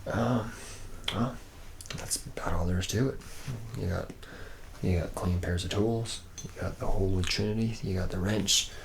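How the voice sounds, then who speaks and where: Casting: male actor as charming barfly robot mechanic, low gravelly voice, slangy rambler, intimate ASMR roleplay with noticeable noise. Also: fairly even intonation, moderate pace. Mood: easygoing, chummy. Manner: rambling, slangy, buddy-buddy, slightly self-deprecating. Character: charismatic, boozy, slacker male robot mechanic, a womanizer. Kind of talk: improvised ASMR roleplay, addressing the listener as a trainee.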